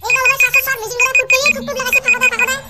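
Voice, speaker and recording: Squeaky, fast-talking cartoon village fellow. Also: male voice, level tone, sharp tone, a little hiss or hum, full character voice. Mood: excited, argumentative. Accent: rural northern Bengali dialect. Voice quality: squeaky, pitch-shifted. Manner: exclaiming, bickering, repeating phrases. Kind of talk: comedy cartoon dub.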